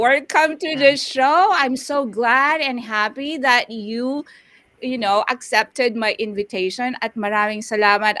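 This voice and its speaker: A woman's voice: mid-range voice, a bit bright, dry recording. A woman, upbeat and enthusiastic as she hosts a live show and mixes in Tagalog phrases. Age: 50s. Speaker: host.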